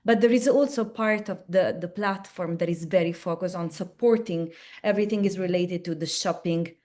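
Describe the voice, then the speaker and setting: Webinar presenter: a woman with an Italian accent and a lowish voice, chatty and loose. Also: normal speed, a little mellow. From Italy. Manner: chatty, restarts often, tag questions like "no?". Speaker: platform representative presenting at a webinar.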